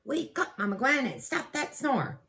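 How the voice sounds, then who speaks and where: A woman's voice: high voice, sing-song, normal speed, creaky, fairly bright tone, voice pushed up, exaggerated voice. A woman voicing an exasperated, urgent young boy in a children's storybook, calling out exclamatory commands. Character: exasperated young boy rousing snorers from sleep. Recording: echoey home room.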